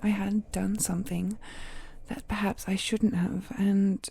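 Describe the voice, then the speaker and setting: An ancient cursed villainess, acted by a woman, speaks slowly and intimately, slipping toward a whisper. Her voice is dark and soft with some gravel in it, possessive and brooding, as in a romantic audio drama. A faint noise sits behind her. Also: mid-range voice, level tone. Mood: wounded, regretful, then furious, remorseful. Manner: breathy, slow, half-whispered, dramatic pauses, soft sighs and chuckles.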